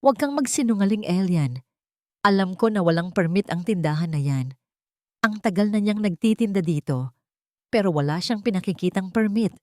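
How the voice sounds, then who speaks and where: Accusing neighbourhood woman, done by a woman storyteller, smirking, hostile, expressive pitch, audio drama. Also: normal speed, no echo, clean studio sound, natural voice.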